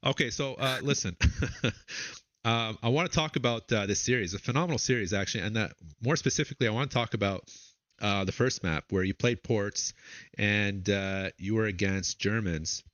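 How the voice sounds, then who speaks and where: Low-voiced male caster, dry sound. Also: fairly bright tone, dry recording. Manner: conversational, drawn-out fillers. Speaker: tournament caster and host. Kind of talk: live game commentary and interview.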